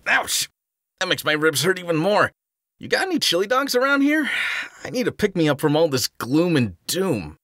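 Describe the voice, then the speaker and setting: A man's voice: a little high, sing-song, moderate pace, a bit bright, no echo, studio quality. A man voicing a cocky, joking male hedgehog hero in a fan audio drama. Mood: breezy. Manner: breezy, joking.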